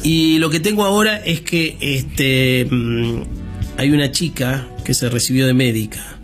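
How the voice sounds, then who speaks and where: A man's voice: mid-range voice, unhurried, faint music behind. A man with an Argentine accent hosting a radio chat, asking playful, teasing questions. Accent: Argentine Spanish. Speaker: radio host.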